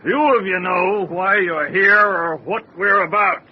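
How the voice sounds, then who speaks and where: An actor playing a stern, cruel ship's captain, giving clipped, threatening commands in an old-time radio horror drama. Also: male voice, sits high, a little slow. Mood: cold authority. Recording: dull, faintly noisy radio recording.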